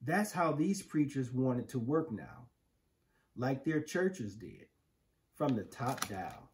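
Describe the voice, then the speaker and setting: A middle-aged American man reading a children's picture book aloud with measured, emphatic phrasing. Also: mid-range voice, sing-song, a little mellow, slight background noise. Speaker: storybook reader. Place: living room, indoors on a couch. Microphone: camera a few feet away.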